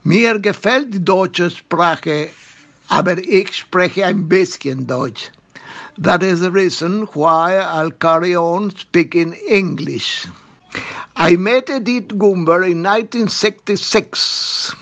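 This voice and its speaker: Very slow Argentinian man, high voice. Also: fairly bright tone. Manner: very slow and measured, with pauses. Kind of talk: recorded personal statement. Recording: dull lo-fi recording.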